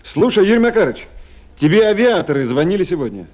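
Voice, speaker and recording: An actor in a 1970s radio drama playing a busy, caring Soviet town official with a conversational, paternal manner. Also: male voice, high-pitched, big pitch swings, fast talker, darkish tone. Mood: businesslike.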